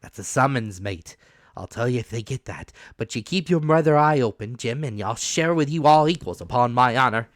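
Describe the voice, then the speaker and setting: A man voicing an ailing, drunken old pirate in a storybook, gruff and fearful, with emphatic rustic sailor talk. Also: mid-range voice, lively intonation, normal speed, dry recording, clean studio sound, pitched above the performer's own voice, close to an everyday voice. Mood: conspiratorial, insistent.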